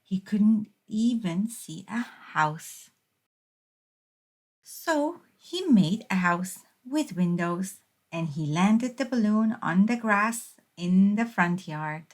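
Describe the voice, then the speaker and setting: A woman reading a children's picture book aloud as a storyteller, in a measured, expressive way. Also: medium pitch. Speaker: storybook reader.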